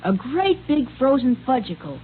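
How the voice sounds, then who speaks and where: A woman's voice: high-pitched, sing-song, moderate pace. A woman voicing an eager, gushing youngster in a 1930s radio advertisement. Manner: gushing, exclamatory. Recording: scratchy, tinny 1930s radio recording.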